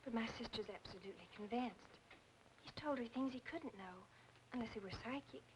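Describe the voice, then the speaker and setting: A woman's voice: on the high side, brisk, lightly acted. An actress playing a worried, impatient young woman, speaking insistently in a mid-century film noir style. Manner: insistent, exasperated. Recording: old film soundtrack, noisy background hiss.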